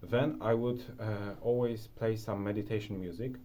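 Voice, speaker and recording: A man's voice: fairly low pitch, fairly even intonation, normal speed, warm tone. A young man with a Polish accent, casually sharing advice as a video creator, rambling with lots of restarts and fillers. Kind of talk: casual talking-head advice.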